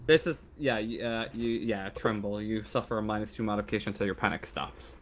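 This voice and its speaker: A man casually running a tabletop roleplaying game as game master, speaking in a halting, informal way. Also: fairly low pitch. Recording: faint background noise.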